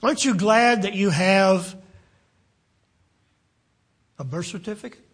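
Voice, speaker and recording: Husky, halting West Virginian preacher. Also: male voice, sits high. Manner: halting, many fillers and restarts. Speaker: pastor. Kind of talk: sermon.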